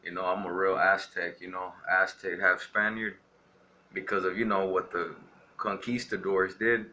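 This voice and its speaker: A man's voice: low-pitched, little pitch movement, moderate pace, bright, forceful tone. A young man from Texas ranting defiantly to camera, rambling with lots of filler words. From Texas, USA. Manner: rambling, defiant, heavy on "you know" fillers. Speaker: vlogger. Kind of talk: casual ranting monologue to camera.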